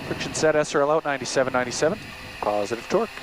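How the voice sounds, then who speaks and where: A man's voice: mid-range voice, expressive, racing. A man working as an airline first officer, giving clipped, procedural but easygoing checklist and radio calls over an aviation headset. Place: small turboprop cockpit in flight. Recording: noisy aircraft intercom feed with engine drone.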